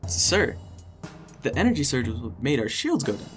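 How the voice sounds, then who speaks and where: Casting: male actor as timid servant lad, hesitant, stammering, animated pitch, faint music beneath. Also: moderate pace, natural voice. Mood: cautiously confident. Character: nervous, stammering boy servant reporting to master.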